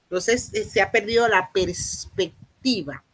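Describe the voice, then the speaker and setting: Woman lecturer, mid-pitched, halting delivery with fillers, formal explanatory tone. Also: husky, rough recording. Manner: halting, many "eh" fillers and restarts; explanatory, addresses listeners formally. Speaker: university lecturer. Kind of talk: spoken lecture.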